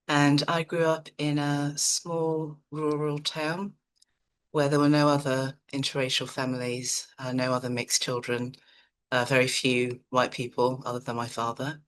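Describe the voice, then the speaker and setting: Calm, measured woman presenter from Nigeria. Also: very deep voice. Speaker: psychotherapist and workshop presenter. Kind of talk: online seminar presentation.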